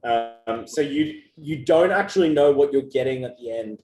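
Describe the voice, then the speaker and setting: A man's voice: medium pitch, lively intonation, moderate pace, warm tone, soft background music. A man who co-founded an agency, answering interview questions in a loose, conversational way with plenty of fillers and restarts. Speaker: agency co-founder giving customer feedback. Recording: echoey room with faint ambience.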